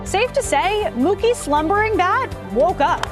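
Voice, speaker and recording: American female sports highlights narrator, bright-spirited swooping delivery in a high register, scripted read over prominent music. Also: expressive, a little slow. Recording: loud music bed behind voice.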